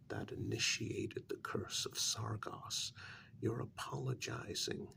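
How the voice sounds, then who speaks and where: A man playing a blunt, exasperated confidant to a vampire in a soft-spoken ASMR role-play, breathy and half whispered, with a measured, admonishing manner. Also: mid-range voice, a little hiss or hum. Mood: earnest, reproachful. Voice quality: gravelly, breathy, near-whisper.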